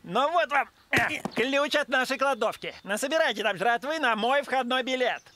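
A man's voice: extremely high pitch, expressive, normal speed, bright, forceful tone, heavily acted. A man playing a pushy, sulky boy in a cartoon, brash and slangy. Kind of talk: cartoon voice acting.

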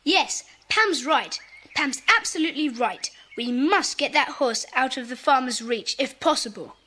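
A woman's voice: high voice, lively intonation, unhurried, pushed and bright, full character voice. A woman playing a confident, decisive schoolboy club leader in a children's audio drama. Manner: assertive, decisive.

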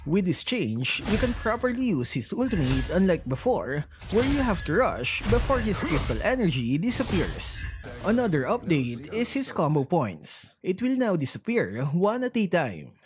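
Lively gaming narrator over music. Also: medium pitch, normal speed. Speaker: gaming video host. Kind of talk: scripted game update narration. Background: background music.

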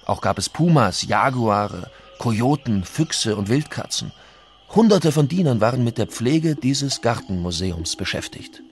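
A man voicing a measured historical chronicler in a dramatised reading of an old account. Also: mid-range voice, expressive, noisy background. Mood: measured, descriptive. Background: music.

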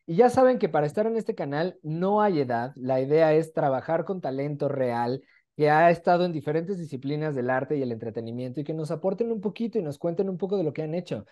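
A young man with a Mexican accent hosts a casual online interview. His tone is mellow and relaxed, his manner chatty and enthusiastic, picked up on a video call. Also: on the high side, fairly quick. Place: small room at home, on a video call.

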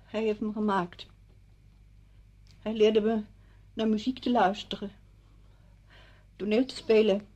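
An actress playing a bitter, stubborn, grieving widow in a radio play, brooding and cutting. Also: female voice, medium pitch, fairly even intonation, slow, long pauses, warm tone, no echo. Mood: wistful. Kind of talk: Dutch radio play acting.

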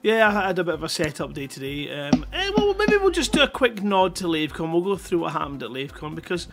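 A man's voice: on the high side, very animated, fast, over music. A middle-aged man hosting a livestream, chatting casually and rambling in a jokey, self-deprecating way into a headset mic. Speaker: live stream host.

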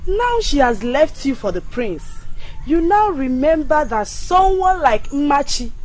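A woman's voice: sits high, big pitch swings, unhurried, darkish tone, poor audio. An actress playing a scorned young woman who scolds her former suitor and refuses him. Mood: reproachful. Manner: reproachful, scolding.

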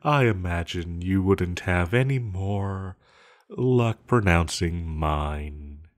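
An ancient villain sorcerer, acted by a man speaks in a low voice at an extremely slow pace. He muses calmly between hums and halting pauses, as in an intimate audio drama, with menace kept quiet underneath. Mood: wry, wistful. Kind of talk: intimate scripted audio roleplay monologue.

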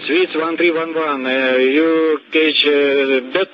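Male air traffic controller, slow and halting, muffled radio sound. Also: fairly high pitch, fairly even intonation. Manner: hesitant, groping for English words. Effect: aviation radio. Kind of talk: radiotelephony instructions. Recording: dull radio transmission.

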